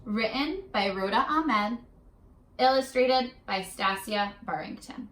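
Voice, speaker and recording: A woman teacher leading a read-aloud lesson for young children, in an animated, questioning, sing-song way. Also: on the high side, takes it slowly. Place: classroom-style room. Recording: echoey room, distant mic.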